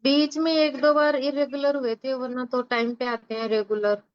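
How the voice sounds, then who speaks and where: A woman's voice: high voice, flat delivery, moderate pace, clear, resonant tone, no room sound, muffled recording. A woman in her twenties describing her health problems as a patient on a video call, polite and a bit hesitant as she lists her symptoms. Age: 20s. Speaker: patient, recent Ayurveda graduate. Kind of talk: casual consultation answers.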